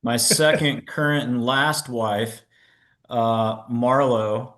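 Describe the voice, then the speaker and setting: A man's voice: mid-range voice, takes it slowly, fairly bright tone. A middle-aged man, an entrepreneur, telling his own story candidly in a casual interview, poking fun at himself and stumbling into restarts now and then. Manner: candid, self-deprecating, with stammered restarts. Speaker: podcast guest, entrepreneur.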